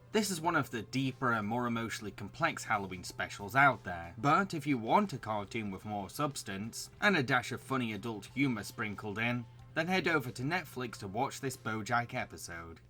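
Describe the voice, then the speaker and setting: A man's voice: mid-range voice, expressive, normal speed, few pauses, a bit bright, soft background music. A man reading scripted narration as a video reviewer.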